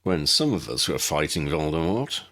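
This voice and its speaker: A man voicing an impulsive, jokey, excitable young man in an audiobook. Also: very deep voice, normal speed, full character voice. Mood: insistent.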